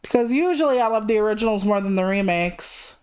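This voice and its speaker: A woman's voice: mid-range voice, expressive, fairly quick, a little mellow, clear, resonant tone. A woman livestreamer chatting casually in a candid, rambling, self-deprecating way, swearing freely. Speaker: video game livestreamer.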